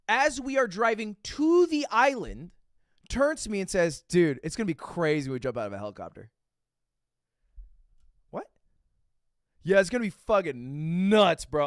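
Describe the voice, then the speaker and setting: A man in his twenties, a streamer reacting to a video, telling a story with excitable, profane energy and acting out what others said. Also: high-pitched, no room sound, studio quality. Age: 20s. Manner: excitable, profane, acts out quotes.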